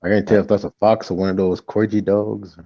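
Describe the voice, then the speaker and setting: A man's voice: fairly low pitch, level tone, brisk, no echo, lo-fi sound. A man co-hosting a casual livestream, laid-back and agreeable with short replies. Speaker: co-host.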